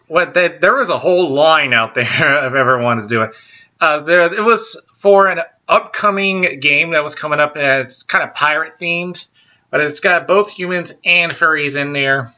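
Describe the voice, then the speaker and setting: A man with a baritone voice, pitched a little high for his range and very bright and forceful. He talks slowly and haltingly, full of ums and restarts, as he hosts a casual solo show. The recording sounds dull and lo-fi. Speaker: podcast host.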